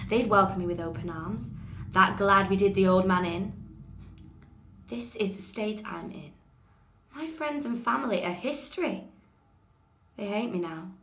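A woman's voice: medium pitch, a bit bright, close to an everyday voice. A young woman acting a betrayed, furious sorceress queen in a bitter, mocking dramatic monologue. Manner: sarcastic, bitter, rising to outbursts. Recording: roomy echo.

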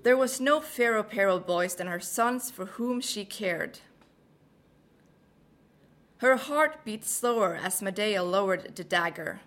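Young Norwegian woman, medium pitch, slow, melodic, many fillers. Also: animated, a bit bright. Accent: Norwegian, American-leaning English. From Norway. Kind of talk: read passage and casual talk.

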